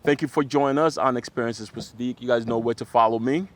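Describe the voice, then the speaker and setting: A man's voice: medium pitch, steady tone, fast talker. A man hosting a casual real estate interview, rambling a little and backing up his guest with frequent "mm-hmm"s. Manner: constant "mm-hmm" backchannels, rambling. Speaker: podcast host, real estate agent.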